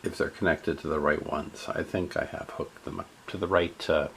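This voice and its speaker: A man narrating a step-by-step radio repair in a halting way, with many fillers. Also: extremely low pitch, steady tone, vocal fry, fairly bright tone, slight background noise.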